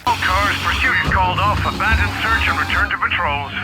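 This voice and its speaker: A man playing a brisk, official police dispatcher making an announcement in a video game. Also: high-pitched, natural voice. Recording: music under the dialogue.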